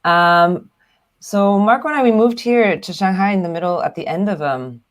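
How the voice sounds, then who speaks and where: A woman's voice: medium pitch, normal speed. A woman from Hong Kong who grew up in Canada and speaks with a Canadian accent, explaining things easily as a guest on a video chat. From Hong Kong, raised in Canada. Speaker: guest, news platform founder. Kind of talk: casual interview talk.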